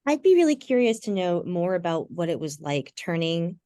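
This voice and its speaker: A woman's voice: lowish voice, sing-song, moderate pace, mellow, dry recording, clean studio sound. A woman hosting a casual interview, asking questions tentatively as she searches for her words. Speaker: podcast host, interviewer.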